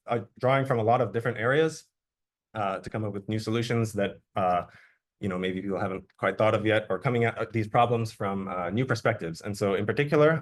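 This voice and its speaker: Low-voiced young man giving a talk. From Jamaica. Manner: relaxed, conversational explaining. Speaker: guest speaker, researcher. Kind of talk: academic seminar talk. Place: lecture room.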